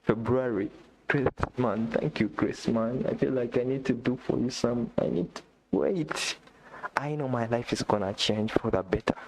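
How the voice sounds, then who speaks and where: A man from Uganda, not a native English speaker, chatting excitedly on a live stream, repeating himself and talking straight to a viewer. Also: medium pitch, normal speed, a little hiss or hum, poor audio. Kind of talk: casual live-stream chat. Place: indoor room.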